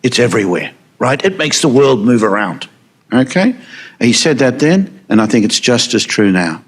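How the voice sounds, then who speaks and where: A man's voice: mid-range voice, very animated, moderate pace, slight background noise. A man answering on a panel, assured, driving points home with tag questions.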